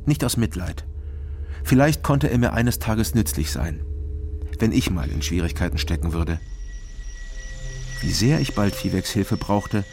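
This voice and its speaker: An actor playing a sober, wry private detective who narrates a crime radio drama in a laconic, dry manner. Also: male voice, lowish voice, expressive, measured pace, pauses often, a little mellow. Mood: dry, reflective. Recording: music under the voice.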